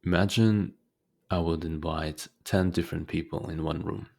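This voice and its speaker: A man leading a guided meditation as a teacher, speaking calmly and deliberately with frequent pauses. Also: low voice, creaky.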